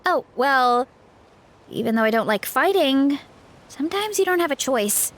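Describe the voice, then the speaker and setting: A woman's voice: high-pitched, expressive, moderate pace, a little hiss or hum. A woman voicing an earnest young woman in a video game, bubbly but shy and self-doubting. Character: earnest young woman who idolizes a masked hero.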